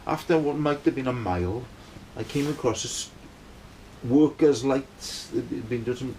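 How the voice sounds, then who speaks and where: A man's voice: medium pitch, moderate pace, darkish tone, a little hiss or hum, rough recording. A middle-aged Welsh man telling a long personal story in a casual, rambling way, checking in with his listeners as he goes. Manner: rambling, keeps checking in with "right?". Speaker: band member telling a story.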